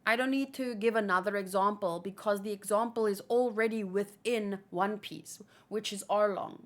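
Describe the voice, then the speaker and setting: A woman's voice: mid-range voice, normal speed. A woman host chatting casually and informally about manga, rambling a little as she thinks aloud.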